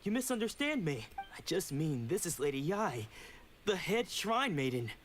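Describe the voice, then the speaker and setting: A man's voice: on the high side, very animated, normal speed, slight background noise. An actor playing a polite, eager young male disciple in a game, courteous and a little flustered. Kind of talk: game dialogue.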